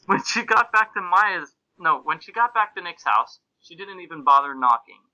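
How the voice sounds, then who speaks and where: A man's voice: high-pitched, expressive, moderate pace, bright, forceful tone, dull audio. A man reading fan fiction and reader comments aloud in a casual, comic podcast style.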